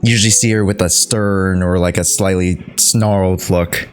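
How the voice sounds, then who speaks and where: A man streaming a video game, giving casual, informal and somewhat halting live reactions. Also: low-pitched, fairly even intonation. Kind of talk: casual live gameplay commentary. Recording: game music behind the voice.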